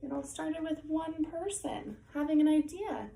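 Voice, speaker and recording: High-voiced woman reading a storybook aloud. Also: measured pace, vocal fry. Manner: expressive, engaged with young listeners. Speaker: volunteer storyteller reading a picture book to children. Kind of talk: read-aloud storytelling with chatty asides. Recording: echoey room, faint background noise.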